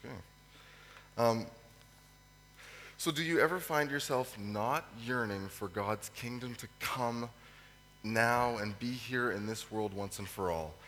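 A man with a cold, his voice hoarse and fading, preaches a sermon with many ums and pauses to clear his throat. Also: medium pitch, a bit bright. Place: church hall. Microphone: microphone. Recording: echoey hall with faint hum.

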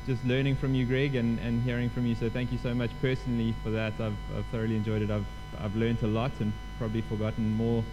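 A chatty, self-deprecating man with a South African accent welcomes a congregation and introduces a guest speaker. His voice sits at a middling pitch, and a mains hum and clear music run under the recording. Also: poor audio. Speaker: church leader introducing the guest preacher. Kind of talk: casual church welcome.

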